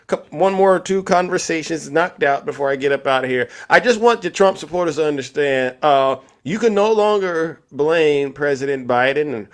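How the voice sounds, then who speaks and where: A man hosting a live call-in stream, talking in loud, hype-man banter that repeats itself. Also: high-pitched. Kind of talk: casual call-in chat.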